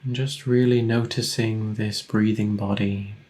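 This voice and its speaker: A man leading a guided meditation as a teacher, giving gentle, unhurried instructions with long pauses. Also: lowish voice, little pitch movement.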